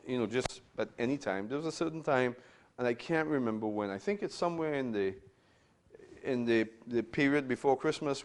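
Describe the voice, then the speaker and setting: An older man from Trinidad, a pastor giving a conversational Bible lecture to a class, often checking in with "right?" and repeating his words. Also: mid-range voice.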